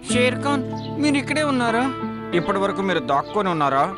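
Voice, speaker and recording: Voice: medium pitch, big pitch swings, fast, over music, lightly acted. An actor voicing a mischievous young talking parrot in a children's cartoon, with exaggerated delivery. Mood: excited.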